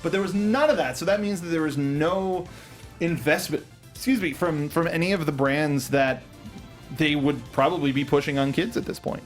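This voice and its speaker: A man with a somewhat high voice co-hosts a film review talk show. He explains production details casually, often doubling back on his words in a halting delivery. Faint music plays underneath.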